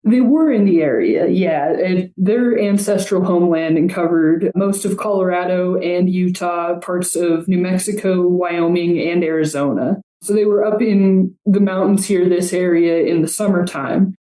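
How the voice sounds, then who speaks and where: Low mellow woman, distant and echoey. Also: measured pace, hardly any pauses. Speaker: local history expert, interview guest. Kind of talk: conversational storytelling in an interview. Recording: echoey room, distant from microphone.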